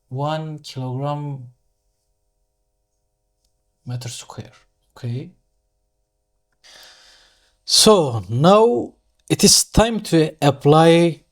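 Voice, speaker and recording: A man with a Turkish accent, a native Turkish speaker, giving an unscripted step-by-step software tutorial, thinking aloud haltingly and correcting himself as he goes. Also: medium pitch. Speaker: engineering software tutorial instructor. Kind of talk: unscripted screen-share tutorial, step-by-step explanation.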